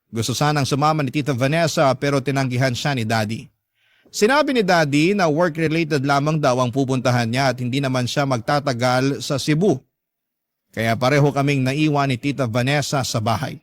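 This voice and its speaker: A man's voice: a little high, dry recording, clean studio sound. A man with a Filipino accent reading a first-person story as scripted dramatic narration, like a radio storyteller.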